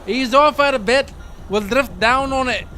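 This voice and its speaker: A man's voice: extremely high pitch, measured pace, sharp tone, a little hiss or hum, exaggerated voice. Man playing a salty, grave sea captain. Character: grave, salty fishing boat captain giving orders. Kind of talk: old-time radio drama acting.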